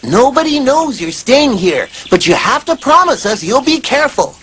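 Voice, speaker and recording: A woman's voice: high-pitched, big pitch swings, moderate pace, a bit bright, a little hiss or hum. A woman voicing an earnest, protective little dwarf in a cartoon. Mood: earnest caution.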